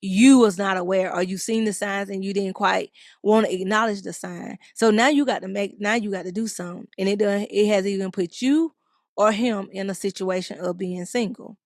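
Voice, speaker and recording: A woman in her forties shares advice on a podcast, her voice slightly gravelly and recorded close and dry. She talks in a chatty, meandering way, stringing thoughts together with lots of "you know." Her pitch sits mid-range. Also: fairly even intonation, darkish tone, dry recording. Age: 40s. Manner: chatty, drawn-out phrasing with many "you know"s. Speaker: podcast co-host. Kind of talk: casual advice chat, reading a listener letter.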